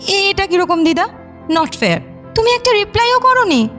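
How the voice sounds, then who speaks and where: An actress playing a lively, teasing young woman in a Bengali audio drama, expressive and playfully whiny, mixing in English slang. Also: very high voice, measured pace, faint music behind, exaggerated voice. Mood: playful disbelief. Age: young adult.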